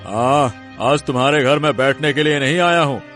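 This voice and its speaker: A man's voice: mid-range voice, normal speed, fairly bright tone, faint music behind, natural voice. A man playing a stern, domineering, vengeful village big man in a cartoon story, scolding and threatening. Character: menacing, vengeful village big man scheming against a young woman. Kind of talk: Hindi cartoon story acting.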